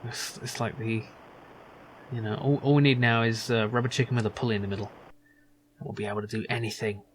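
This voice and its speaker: A man's voice: mid-range voice, fairly even intonation, fast talker, a little hiss or hum. A man giving casual let's play commentary, thinking aloud in a wry, musing way. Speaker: video game streamer.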